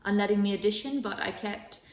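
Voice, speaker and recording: A woman's voice: medium pitch, a little fast. Woman from denmark, earnest and enthusiastic, fillers and restarts, roomy echo. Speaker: wellness coach and host. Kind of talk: solo self-introduction on a sofa. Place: living room sofa.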